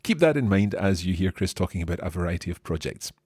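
A man's voice: low-pitched, lively intonation, quick pace, darkish tone, slightly rough, no room sound, studio quality. A man hosting a podcast, reading a scripted intro and then chatting with a guest in a friendly way, with frequent chuckles. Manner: friendly, chuckles often, some hesitant fillers. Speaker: podcast host and interviewer. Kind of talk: scripted intro then relaxed interview chat.

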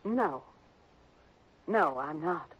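An actress playing a defiant, headstrong young adopted heiress in a 1960s radio drama. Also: female voice, low voice, expressive, extremely slow, husky, heavily acted. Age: young adult. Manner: headstrong, defensive. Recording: dull lo-fi old radio broadcast.

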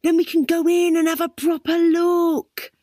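A woman's voice: very high voice, level tone, a little slow, dark tone, no echo, clean studio sound, pitched above the performer's own voice. A woman voicing a scheming young man who gives blunt, bossy orders.

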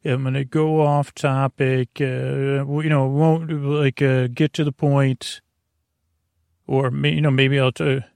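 A man with a creaky voice hosting a bedtime podcast, meandering and halting through a soothing monologue full of tangents and self-corrections. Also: medium pitch, level tone, a little mellow, no echo, studio quality. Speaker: podcast host. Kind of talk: rambling bedtime monologue meant to lull listeners to sleep.

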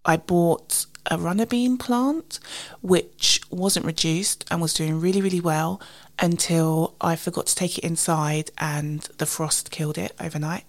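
Casting: female British podcast host, slightly low voice, a bit congested with a cold, informal and chatty delivery. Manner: informal, self-deprecating, recounts conversations in quoted dialogue. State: slightly husky and sniffly with a cold. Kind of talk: casual chatty solo podcast.

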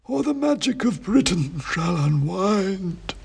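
A man voicing an ancient king's severed head that speaks in slow, solemn rhymes with a dusty, faint voice. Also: sits high, very animated, gravelly, a little mellow, husky, pitched above the performer's own voice, exaggerated voice. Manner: slow, drawn-out rhyming pronouncements.

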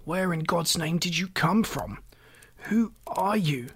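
A narrator voicing a nervous, incredulous, flustered gentleman father in a storybook reading. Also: medium pitch, lively intonation, slow pace, breathy edge, exaggerated voice. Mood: frantic, incredulous. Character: frantic, horrified, flustered new father, a gentleman.

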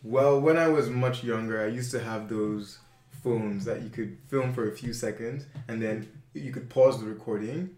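A man's voice: medium pitch, normal speed, a little mellow, reverberant. A young man, chatting casually as an interview guest, full of fillers and self-corrections. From Nigeria.

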